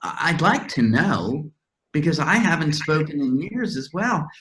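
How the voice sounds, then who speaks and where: Casting: performer for awed, bewildered newly awakened being, halting delivery, wildly animated pitch, readers theater. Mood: bewildered, wondering. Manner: halting, wondering. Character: wonderstruck imaginary being, newly able to smell and speak.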